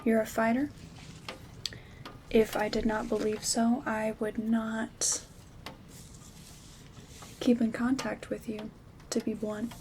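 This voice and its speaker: A woman playing a gentle, caring witch herbalist in an ASMR roleplay, soft-spoken and often whispering in a soothing, intimate way. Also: on the high side, little pitch movement, slow pace, pauses often. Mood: gentle, reassuring. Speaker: ASMR performer. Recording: soft rain and handling noise. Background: rain sounds, craft rustling.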